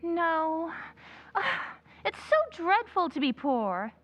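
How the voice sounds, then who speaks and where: A woman's voice: very high voice, very animated, extremely slow, a bit bright, full character voice. A woman playing a proper, easily shocked young lady, the eldest sister, in an old-time radio play with prim, dramatic delivery. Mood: wistful. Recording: dull lo-fi sound.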